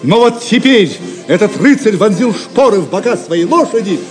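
A man's voice: high-pitched, animated, normal speed. A man narrating an audio play as a dramatic, theatrical storyteller with declamatory delivery. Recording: music behind the voice.

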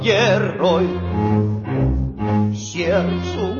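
A woman performing a mournful funeral singer in a solemn, drawn-out lament on a children's story record. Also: fairly low pitch. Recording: echoey dull old record, loud music.

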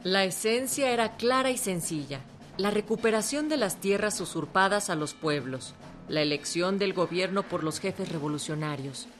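A woman's voice: mid-range voice, lively intonation, moderate pace, faint music behind. A woman delivering scripted narration for a documentary radio drama.

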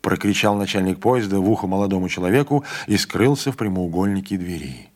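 A man reading a literary audiobook in a measured, even storytelling manner. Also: deep voice. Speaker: audiobook reader. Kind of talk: literary narration.

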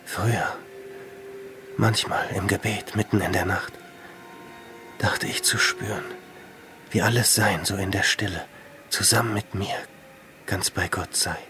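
Slow, deep-voiced weary hermit. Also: male voice, fairly even intonation, over music. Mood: weary, despairing. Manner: halting, brooding, hushed. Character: weary, despairing religious hermit, a man. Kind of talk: German radio drama acting.

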